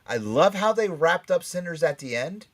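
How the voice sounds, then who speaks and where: A man's voice: on the high side, sing-song, moderate pace. A middle-aged man hosting a casual pop-culture radio panel, talking in a rambling, emphatic fan's way. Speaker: radio show host.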